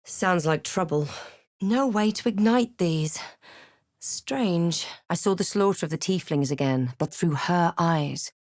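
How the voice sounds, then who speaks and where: A watchful female adventurer from a fantasy game. Her voice sits fairly low and is recorded dry and close. She speaks in a measured, understated way with a wry, dramatic edge. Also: a little mellow, no echo. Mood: grave, wary. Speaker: player-character voice actor. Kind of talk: fantasy game narration and short reactive lines.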